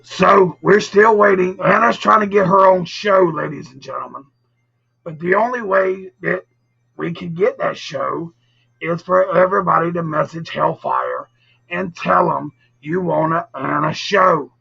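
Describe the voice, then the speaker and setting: Male live storytelling host: bright, forceful, very husky rasp, casual and teasing with viewers, muffled recording with music underneath. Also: sits high, measured pace. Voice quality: gravelly, raspy. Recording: dull lo-fi audio, music behind.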